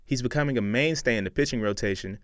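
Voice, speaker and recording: A man's voice: mid-range voice, dry recording, clean studio sound. Young man narrating a sports feature. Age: young adult. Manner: measured, dramatic voice-over delivery. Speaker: student sports reporter.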